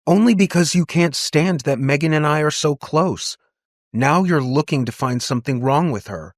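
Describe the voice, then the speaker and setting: A man plays a defensive young girl in an audiobook. Her delivery is sullen and accusatory, pitched above the narration, in a close, dry recording. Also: expressive, normal speed, no echo, lightly acted. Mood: angry. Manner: curt, accusatory. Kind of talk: audiobook character dialogue.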